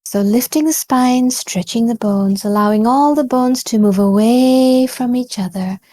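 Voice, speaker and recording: A woman guides a meditation at a very unhurried pace. Her voice is soft-edged and mellow, recorded close and dry. She speaks gently and calmly, encouraging the listener with pauses between short phrases. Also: on the high side, dry recording. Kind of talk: guided body scan meditation.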